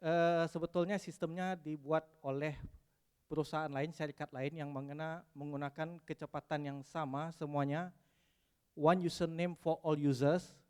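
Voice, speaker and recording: A man from West Sumatra giving a technical conference presentation, pausing often with "eh" and dropping in English terms. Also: on the high side, steady tone, darkish tone, clean tone. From West Sumatra, Indonesia. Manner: hesitant, frequent "eh" fillers, mixes in English terms.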